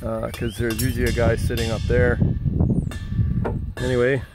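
A man's voice: medium pitch, monotone, normal speed, heavy background noise. Rambling man touring a house frame outdoors. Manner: rambling, with many fillers and restarts. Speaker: vlogger touring his house build. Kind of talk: casual walkthrough narration. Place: outdoors on an open timber house frame in the countryside.

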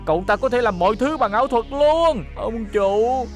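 Fat bumbling cartoon henchman, eager, flustered, very high-pitched voice, southern Vietnamese accent, clear music behind. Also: expressive, moderate pace. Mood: flustered, excited. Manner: flustered, stumbling, exclamatory. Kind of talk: animated cartoon dub.

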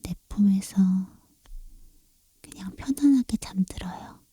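A woman playing a tender, doting girlfriend who soothes her lover to sleep in a soft, breathy whisper for an ASMR roleplay. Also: mid-range voice, no room sound. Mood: comforting. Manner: slow, gentle, affectionate cooing. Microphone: close-up microphone, dry.

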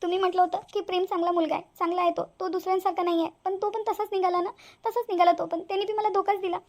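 A woman's voice: extremely high pitch, flat delivery, rapid-fire. A woman voicing a heartbroken young village woman, who talks tearfully and repeats her complaints.

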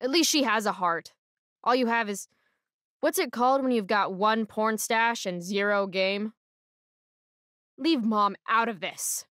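A woman's voice: mid-range voice, measured pace, long pauses, fairly bright tone, no room sound, studio quality, lightly acted. A young woman playing a rebellious, sarcastic teenage girl in a video game, with an American accent and a sardonic, defiant delivery. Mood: angry defiance. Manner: sardonic, defiant, foul-mouthed.

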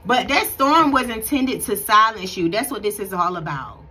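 A woman's voice: medium pitch, animated, moderate pace, bright, forceful tone, husky. A woman in her thirties giving casual devotional encouragement to her viewers from home, talking earnestly in a preachy, conversational way full of restarts. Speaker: faith vlogger. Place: bright room at home, indoors. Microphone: phone camera at close range.

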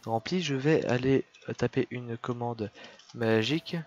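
A man's voice: medium pitch, measured pace, darkish tone, no echo, muffled recording. A tired man with a head cold hosting a gaming video, talking casually and hesitantly with many fillers. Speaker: host of a Minecraft server series.

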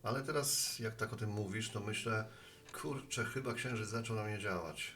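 A man's voice: lowish voice, normal speed, bright, forceful tone. An actor playing a talkative, friendly postman with earnest opinions, chatting at a bar in an audio drama. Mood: conversational. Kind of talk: Polish audio drama acting.

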